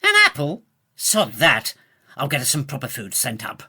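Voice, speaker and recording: An actor playing a pompous, imperious castle lord with a blustery manner in a mock-medieval comic audio drama. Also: male voice, medium pitch, sing-song, moderate pace, bright, forceful tone, slightly rough, full character voice. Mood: haughty.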